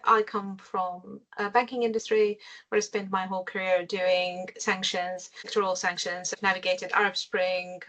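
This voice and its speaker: A woman from Syria, a guest speaker giving a measured briefing over a video call, with frequent pauses. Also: medium pitch, fairly bright tone, poor audio. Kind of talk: webinar briefing.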